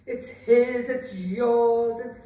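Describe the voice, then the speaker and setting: A woman plays a weary medieval queen and wife with a wounded, cutting tongue. Her voice sits fairly high and moves slowly through wide swings of pitch, as in classic theatre. It is heard at a distance in a very reverberant room. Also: steady tone, mellow. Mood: desperate surrender. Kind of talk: dramatic staged reading of a classic play. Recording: very echoey room, distant microphone.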